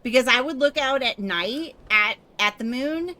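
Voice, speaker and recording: A woman's voice: high-pitched, lively intonation, a little slow, pushed and bright. A young adult woman from the United States hosting a stream, chatting casually and giggling, with many "like" and "you know" fillers. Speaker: stream host. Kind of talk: casual chat with a guest.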